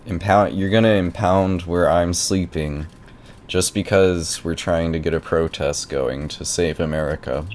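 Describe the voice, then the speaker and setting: A low-pitched male squatter tenant, played by a man, stubborn and clueless. He rambles and argues with naive insistence in an improvised prank phone conversation. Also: unhurried, a little mellow, slight background noise. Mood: defiant. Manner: rambling, argumentative, feigned naivety. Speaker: prank caller.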